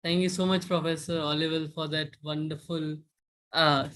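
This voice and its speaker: A man's voice: on the high side, flat delivery, normal speed, a bit bright. A man hosting a live-streamed interview, speaking politely and hesitantly, often restarting his sentences. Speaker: interviewer host.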